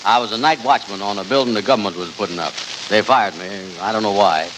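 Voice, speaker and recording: A male actor plays a lazy, self-assured working man from a vintage radio comedy. The voice is low, pompous and deadpan, full of dim confidence. The old broadcast recording is clearly noisy. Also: fast, close to an everyday voice. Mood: smug, deadpan. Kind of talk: 1940s radio comedy acting.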